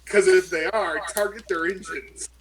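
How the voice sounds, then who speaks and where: Man, tabletop player, high voice, jokey, wisecracking, faint background noise. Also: steady tone, normal speed. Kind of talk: casual tabletop play.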